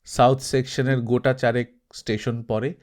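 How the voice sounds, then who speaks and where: A man reading literary fiction as an audiobook narrator, in a measured, formal and expressive way. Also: medium pitch. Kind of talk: scripted literary narration with verse recitation.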